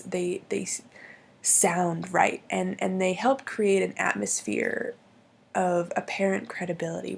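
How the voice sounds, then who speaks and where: A woman with a hoarse voice from bronchitis, explaining logical fallacies in a hesitant way with many pauses and restarts. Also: mid-range voice, darkish tone. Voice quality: husky, gravelly.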